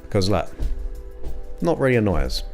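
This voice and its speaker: A man's voice: fairly low pitch, big pitch swings, moderate pace, gravelly, darkish tone, faint music behind. A man in his forties hosting a livestream, chatting casually and rambling as he thanks viewers by name. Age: 40s. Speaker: livestream host. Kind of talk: casual chat with live viewers.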